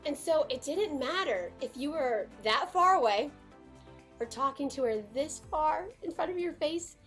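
Young American female storyteller, higher register, dramatic delivery, home vlog setup with some music. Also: lively intonation, unhurried, a bit bright. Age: 20s. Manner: dramatic, emphatic, gesturing. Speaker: storytime vlogger. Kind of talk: animated personal storytelling to camera. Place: small room at home.

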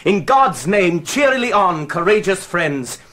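A bold, husky rebel nobleman. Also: sits high, big pitch swings, pushed and bright, heavily acted. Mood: stirring. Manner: declamatory, measured verse delivery. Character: bold, hopeful young rebel nobleman rallying his army. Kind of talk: classical Shakespearean stage acting.

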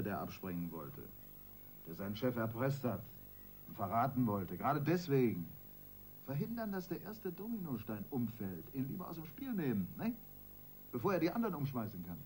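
A senior detective in a German radio crime drama. His low voice is emphatic and mocking, talking down to a subordinate with sarcasm. There is clear noise behind him. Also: a little mellow. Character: sarcastic, domineering senior male police detective.

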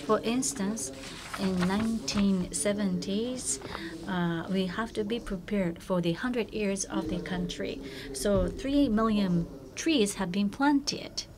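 A woman's voice: medium pitch, a crowd in the background. A woman working as a conference interpreter, rendering a keynote speech with frequent hesitations and restarts. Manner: halting, many fillers and restarts. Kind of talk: consecutive interpretation.

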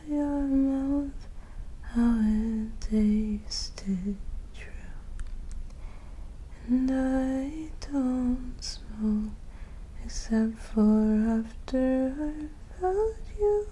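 Woman singing softly, very mellow and high. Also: slight background noise. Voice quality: soft, breathy, airy. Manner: gentle, drawn-out, often near a whisper. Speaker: ASMR performer. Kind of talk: soft, sleepy ASMR singing.

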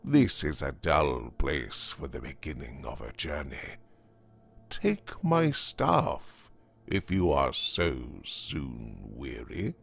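A man acts out a sly, worldly devil in his fifties from an old storybook. The voice is deep and gravelly, taking its time over formal, archaic phrases as it smoothly persuades. Its pitch swoops widely. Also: very animated, fairly bright tone, faint music behind, full character voice. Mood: coaxing. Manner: unhurried, archaic formal speech. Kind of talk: dramatised audiobook reading of a classic tale.

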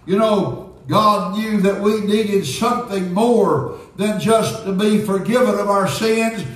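A man's voice: high-pitched, fairly bright tone. A man preaching an earnest Pentecostal sermon as a pastor. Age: 70s. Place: church sanctuary, indoors at a pulpit. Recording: very echoey church sanctuary, distant sound.

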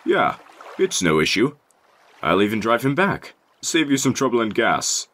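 A man voicing a big, gentle goat monster father in a comic dub, with a folksy, kindly, thoughtful way of talking. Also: medium pitch, big pitch swings, moderate pace. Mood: cheerful. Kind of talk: animated comic dub voice acting.

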